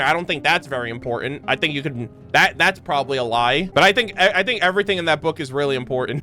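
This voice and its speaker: Very high-voiced, bright man reacting casually. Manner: excitable, rambling, emphatic theorizing. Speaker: streamer reacting to game lore.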